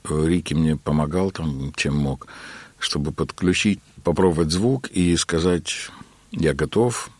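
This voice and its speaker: A man being interviewed about his work as a keyboard player, telling his story in an unhurried way with many filler words. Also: very deep voice, a little mellow, no room sound.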